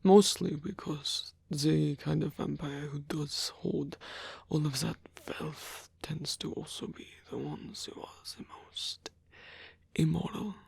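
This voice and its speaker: A man playing a lonely, courteous old vampire in an intimate ASMR roleplay, speaking in a breathy, hesitant, softly formal way. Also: a little high, no echo, heavily acted. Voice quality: breathy, husky, gravelly whisper.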